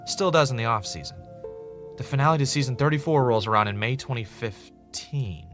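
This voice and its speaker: A man's voice: medium pitch, a little fast, a bit bright, faint music behind, close to an everyday voice. A man playing an earnest, dogged podcaster investigating a mystery in a naturalistic audio drama, with a wry, self-dramatizing streak. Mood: measured, serious. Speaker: podcast host in a scripted drama.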